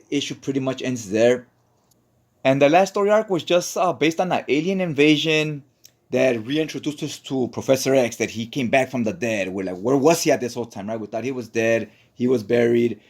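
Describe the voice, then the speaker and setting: A man narrating a casual recap in an informal, colloquial way, often checking in with "right?". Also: medium pitch. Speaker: comic book recap narrator. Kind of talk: casual story recap commentary.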